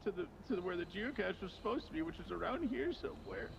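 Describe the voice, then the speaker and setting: Man voicing a creaky, high-pitched rambling tourist. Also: expressive, dull audio. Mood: rambling, flustered. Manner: halting, rambling, repetitive. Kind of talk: improvised video game roleplay. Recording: noisy game audio with vehicle sounds.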